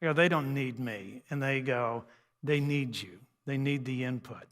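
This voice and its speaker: A man's voice: mid-range voice, moderate pace, fairly bright tone. An older man giving a folksy, joking, conversational talk on family life to a live audience, poking fun at himself. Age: 60s or older. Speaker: speaker on raising teens, radio host. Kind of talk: humorous seminar talk to a church audience. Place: large church hall, speaking to an audience. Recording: live hall with long reverb.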